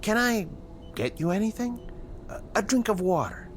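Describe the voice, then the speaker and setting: An actor playing a calm, self-satisfied American scientist, soothing and a little condescending, in a radio drama. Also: male voice, high-pitched, normal speed, slight background noise. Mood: patronizing.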